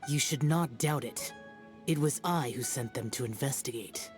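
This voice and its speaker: A man's voice: mid-range voice, normal speed, natural voice. A man voicing an aloof young warrior spirit, curt, formal and detached, over game music. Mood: cold. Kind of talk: video game voice acting. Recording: game soundtrack under the voice.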